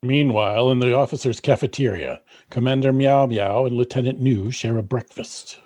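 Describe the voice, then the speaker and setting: A man's voice: mid-range voice, moderate pace, a little mellow, no echo. A man reading scripted narration for a radio comedy.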